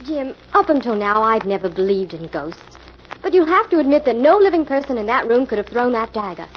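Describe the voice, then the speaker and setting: An actress playing a spirited, curious detective's assistant, inquisitive and quippy in 1940s radio style. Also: female voice, fairly high pitch, big pitch swings, a little fast. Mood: puzzled, insistent. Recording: muffled, noisy old radio recording.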